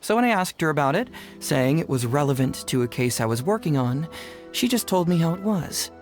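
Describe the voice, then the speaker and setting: A confident young detective from a video game. He talks in a smooth, teasing, glib way, laying out his reasoning with wry assurance, while music plays clearly behind him. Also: fairly high pitch, big pitch swings, a little fast. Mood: wry, assured. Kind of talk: English video game voice acting. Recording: studio voice under game music. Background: game background music.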